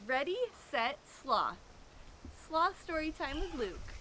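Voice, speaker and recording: Bright, animated woman reading a storybook. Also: high-pitched, slight background noise, poor audio. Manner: expressive, drawn-out storytelling with emphasis. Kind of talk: reading a children's picture book aloud.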